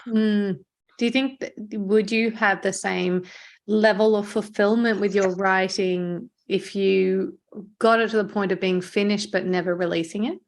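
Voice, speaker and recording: A woman with a mid-pitched voice hosts a conversational interview. She affirms the other person's points and puts her questions thoughtfully, sometimes feeling her way into the wording. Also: steady tone, a little slow. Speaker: podcast host, interviewer.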